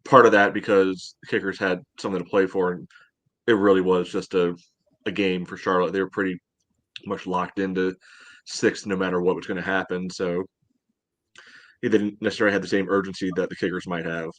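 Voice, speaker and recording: Male podcast co-host, deep-ish and close-miked, halting casual sports analysis. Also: little pitch movement, fairly bright tone, no room sound.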